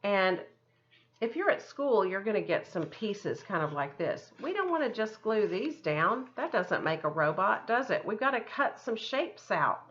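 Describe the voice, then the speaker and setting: A woman's voice: medium pitch, sing-song, measured pace, a bit bright, slight background noise. A woman art teacher giving children a step-by-step craft lesson in simple, encouraging words, often thinking aloud, on a dull lo-fi recording.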